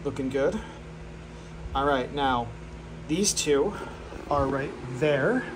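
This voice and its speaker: A man's voice: mid-range voice, animated, slow, noisy background. A man filming a casual, offhand walkthrough of his home workshop, joking at his own expense. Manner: offhand and self-deprecating, with fillers. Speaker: channel host.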